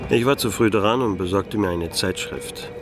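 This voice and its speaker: Noir radio drama: weary middle-aged male detective played by an actor, low register, laconic wit, music underneath. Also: normal speed, close to an everyday voice. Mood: wry, brooding. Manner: laconic, dry, sardonic. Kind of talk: noir radio play narration and dialogue.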